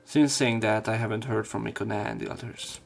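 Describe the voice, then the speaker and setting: Casting: male let's player, lowish voice, reading visual novel narration over game music. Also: fairly even intonation, fairly quick, creaky. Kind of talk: reading translated game narration and dialogue aloud.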